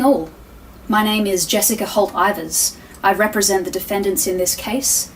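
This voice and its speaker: An actress plays a courteous, articulate defense attorney making a formal opening statement in an audio drama. Her voice is medium in pitch and composed as she explains things to the jury. She sounds somewhat distant from the mic, with faint noise behind her. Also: darkish tone, natural voice.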